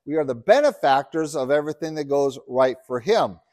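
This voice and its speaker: Middle-aged pastor from Uganda, earnest preacher, slightly high voice. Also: male voice, expressive, fairly quick, no echo, studio quality. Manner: earnest, builds to loud emphatic scripture reading. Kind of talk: sermon. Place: church.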